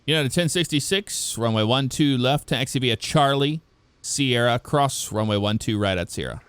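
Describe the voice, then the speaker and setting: A man's voice: medium pitch, normal speed, a bit bright, clean tone, no room sound. A man working as a ground controller, giving clipped, procedural air traffic control instructions. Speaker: air traffic ground controller in an online flight simulation network.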